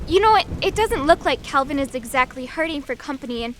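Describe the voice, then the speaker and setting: A woman's voice: sits high, lively intonation, fast, slight background noise. An actress in a radio drama playing an earnest, tender-hearted young woman who speaks with feeling and hesitation. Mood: nervous, hopeful. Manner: hesitant, emotional, searching.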